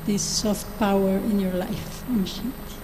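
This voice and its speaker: A woman from Guatemala giving a short calm speech on spirituality to an audience. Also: mid-range voice, measured pace, noisy background. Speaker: guest speaker. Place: large hall on stage.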